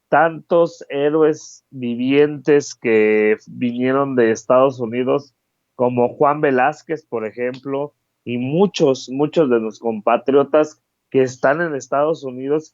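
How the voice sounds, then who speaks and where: Earnest Mexican commentator, dry muffled sound. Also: male voice, mid-range voice, no echo. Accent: Mexican Spanish. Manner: earnest, repetitive, emphatic. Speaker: political commentary co-host. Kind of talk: casual political commentary.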